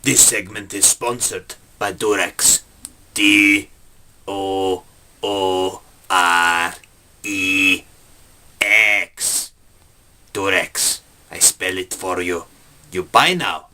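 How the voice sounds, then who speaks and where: A man voicing a pushy sponsor pitchman who speaks in broken English. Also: a little slow, sharp tone, slight background noise, heavily acted. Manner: blunt, salesy.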